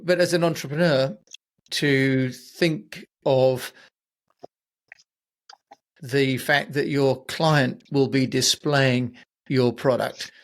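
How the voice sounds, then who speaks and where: A man's voice: medium pitch, fairly even intonation, slow, pauses often. A man hosting a podcast, talking conversationally with a guest. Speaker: podcast host. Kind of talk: conversational interviewing.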